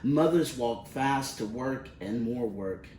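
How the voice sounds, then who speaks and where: A man reading a children's storybook aloud expressively, in measured, deliberate phrases with pauses. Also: mid-range voice, expressive, a little mellow. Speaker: picture book reader. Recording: roomy, distant mic with faint noise.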